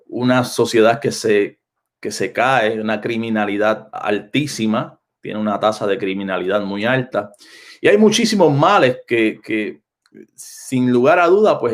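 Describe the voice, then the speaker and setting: A man with a Puerto Rican Spanish accent, speaking as a pastor on an unscripted live stream. His voice sits at a middling pitch. He rambles, doubling back on phrases and restarting sentences as he goes. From Puerto Rico. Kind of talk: unscripted livestream talk to viewers. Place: home, Florida.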